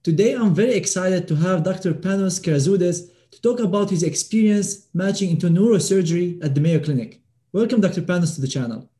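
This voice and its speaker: A man's voice: high-pitched, a little fast, warm tone. A young man hosting a channel, asking brief friendly questions over a video call. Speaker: channel host. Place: home room with bookshelf, indoors. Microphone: video call webcam mic.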